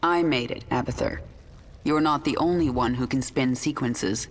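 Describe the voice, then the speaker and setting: Bossy menacing alien queen in a game, curt, lowish male-range pitch no squeak, bit of noise behind. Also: normal speed. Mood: commanding, curt. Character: stern, commanding queen of an alien swarm, simmering with anger. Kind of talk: video game character acting.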